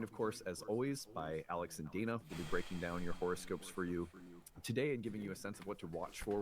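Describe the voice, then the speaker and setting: A man's voice: deep voice, heavy background noise. A man hosting a conversational astrology show, explaining things in an earnest way. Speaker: show host.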